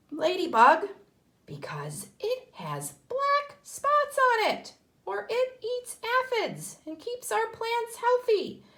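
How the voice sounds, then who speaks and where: A woman's voice: sits high, fairly bright tone. A woman teacher talking to young children, encouraging them with simple step-by-step instructions and questions. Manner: encouraging, asks questions and answers them herself. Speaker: kindergarten teacher.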